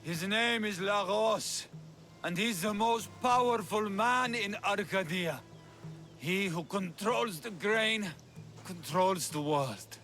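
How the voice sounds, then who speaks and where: A man's voice: high voice, slow pace, pushed and bright, faint music behind, full character voice. An actor playing a cowardly, scheming male bounty hunter in a game cutscene who sneers and then begs under threat. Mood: sneering.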